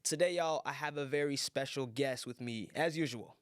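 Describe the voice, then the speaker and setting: Host: young man, mid pitch, casual and upbeat, dry booth sound. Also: moderate pace, dry recording. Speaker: podcast host. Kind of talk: casual podcast chat.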